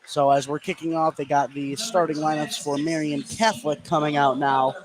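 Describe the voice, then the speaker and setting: A man's voice: on the high side, level tone, normal speed, poor audio. A man calling a basketball game live as a play-by-play radio commentator. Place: indoor school gym. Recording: crowd noise behind the voice. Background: gym crowd.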